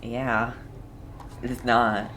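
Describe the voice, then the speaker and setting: A woman's voice: very deep voice, little pitch movement, extremely slow, background noise. A woman giving casual let's play commentary with dry, skeptical asides. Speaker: game streamer. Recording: game music behind the voice.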